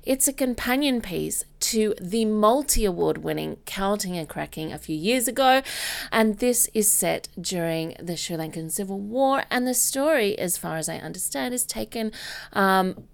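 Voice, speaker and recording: A woman hosting an arts interview podcast in a conversational, halting way, rephrasing her questions as she goes. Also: mid-range voice, lively intonation, gravelly.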